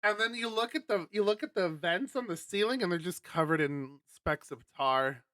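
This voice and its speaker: A man streamer reading a visual novel aloud and adding chatty, animated asides. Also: sits high, moderate pace, no echo.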